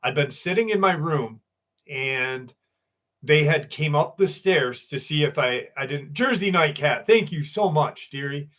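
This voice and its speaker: A man's voice: a little high, expressive, a little slow, fairly bright tone. A man hosting a live stream, telling stories in a loose, profane way and greeting viewers by name. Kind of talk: casual live stream chat and storytelling.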